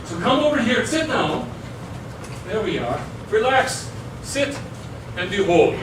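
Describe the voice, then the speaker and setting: A man's voice: high voice, big pitch swings, noisy background. A man playing the folksy, jovial ghost of an old man who presides over a debate on stage. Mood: folksy, commanding. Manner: folksy, unhurried announcer-like introductions. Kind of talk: stage musical theatre dialogue. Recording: roomy distant stage recording, music behind.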